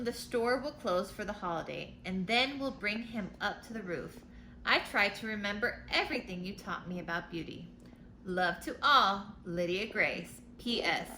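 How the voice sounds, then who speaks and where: A woman's voice: medium pitch, sharp tone. A woman reading a storybook in the part of a cheerful, eager young girl writing letters home. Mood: bursting with happiness. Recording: noticeable background noise.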